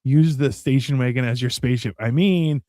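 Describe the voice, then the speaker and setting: A man's voice: mid-range voice, normal speed, warm tone, no echo, studio quality. An American man co-hosting a casual show, rambling with sarcastic jokes and frequent restarts. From United States. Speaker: podcast co-host. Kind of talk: casual banter.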